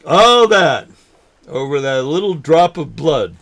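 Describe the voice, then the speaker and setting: A man playing a forgetful, folksy older man who talks haltingly, in a naturalistic drama. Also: on the high side, very animated, fairly bright tone, exaggerated voice. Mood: amused, teasing. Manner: halting, folksy, mild exclamations. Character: forgetful old man, folksy, nervous, teasing lifelong fisherman. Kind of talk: naturalistic short film drama acting.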